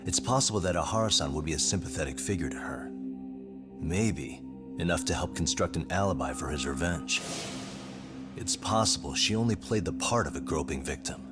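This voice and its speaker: Game-dub casting: a dogged, cool private detective, very low voice, deliberate questioning, music underneath. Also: male voice, animated. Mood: probing, calm. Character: persistent adult male private detective, cool and probing. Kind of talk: English-dubbed video game drama.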